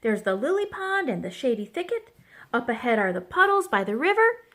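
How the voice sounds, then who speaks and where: A woman's voice: a little high, big pitch swings, a little fast, fairly bright tone. A woman voicing a cheerful, enthusiastic male duck in a children's storybook, calling out and quacking. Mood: happy, eager. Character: cheerful, eager male duck, admiring friend.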